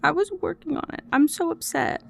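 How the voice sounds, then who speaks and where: A young woman with a hoarse throat, chatting casually and swearing while she streams and sketches. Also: sits high, a little fast. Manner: swearing, talkative, complaining. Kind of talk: casual livestream chat while drawing.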